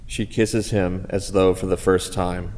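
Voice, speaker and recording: Casting: male stage-directions reader, very low and slow, reverberant hall. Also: little pitch movement, a little mellow. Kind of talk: scripted narration.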